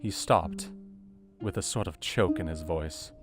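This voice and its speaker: A man plays a young doctor recounting a diary in an audio drama. The voice is fairly low, sombre and measured, observant in its narration. Faint guitar music runs underneath. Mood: intent. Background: soft guitar music.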